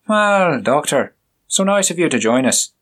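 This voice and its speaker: A stern, dismissive police inspector, acted by a male narrator. He talks down in clipped orders, his voice raised and husky. It sounds like a story told by one reader. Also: fairly high pitch, very animated, a little slow, fairly bright tone, voice pushed up, exaggerated voice. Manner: curt, commanding, condescending.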